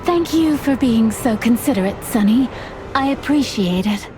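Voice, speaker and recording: Polite noir lady played by a woman, higher-pitched. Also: lively intonation, normal speed, noisy background, lightly acted. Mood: polite, coy. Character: polite, refined female client in noir mystery. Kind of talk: scripted noir game dialogue. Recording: music behind the voice. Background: music.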